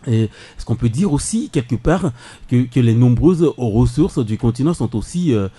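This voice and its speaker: West African French male radio host, measured and warm-toned. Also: medium pitch, lively intonation, husky. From Togo. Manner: measured, chuckling, steers the debate. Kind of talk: radio talk show moderation. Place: radio studio.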